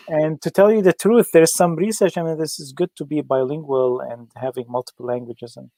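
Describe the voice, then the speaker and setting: A man hosting an interview, asking questions haltingly with many fillers, Arabic his first language. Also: on the high side, fairly even intonation, dark tone, dry recording, low-quality recording. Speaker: interview host. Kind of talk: casual interview.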